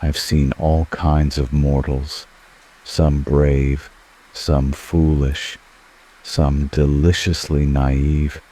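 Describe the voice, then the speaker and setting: Man voicing a very deep, slow, seductive ancient vampire. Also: level tone, mellow, exaggerated voice. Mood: wistful, intrigued. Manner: slow, formal, teasing, intimate. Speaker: ASMR roleplay performer. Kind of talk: scripted ASMR roleplay.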